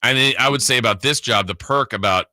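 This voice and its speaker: A man's voice: medium pitch, fast, pushed and bright, no room sound. A man hosting a sports radio show, chatting casually in a rambling, conversational way close to the mic. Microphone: close studio broadcast mic.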